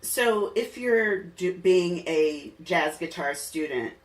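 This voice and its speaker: A man's voice: sits high, lively intonation, slow. A middle-aged man hosting a casual podcast interview, musing in a wordy way and building his points in loose steps. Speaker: podcast host interviewing a musician. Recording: roomy, distant mic, faint music.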